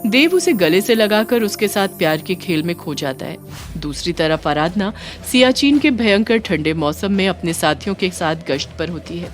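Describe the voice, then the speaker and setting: A woman's voice: fairly low pitch, expressive, normal speed. A woman reading scripted storytelling narration for a melodrama. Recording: music bed behind the voice. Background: background music.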